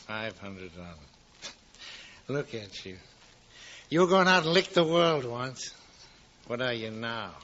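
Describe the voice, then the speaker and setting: Sharp-voiced, gloating miserly banker villain. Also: male voice, mid-range voice, animated. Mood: gloating, contemptuous. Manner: mocking, drawn-out taunting. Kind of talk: 1940s radio drama acting. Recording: muffled, hissy 1940s radio recording.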